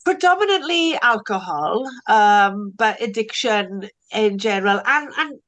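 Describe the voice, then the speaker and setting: A woman's voice: mid-range voice, expressive, a little slow. Welsh lady, middle-aged, chatty guest, talks freely and restarts her sentences a lot. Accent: South Welsh. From Wales. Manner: warm, chatty, repeats words when starting a thought. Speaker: recovery coach, podcast guest. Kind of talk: casual interview chat.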